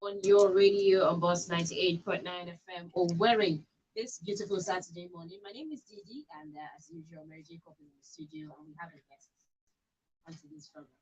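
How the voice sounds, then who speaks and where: A woman's voice: on the low side, a little fast, a little mellow, highs cut off. A woman with a Nigerian accent hosting a live radio talk show. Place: radio studio.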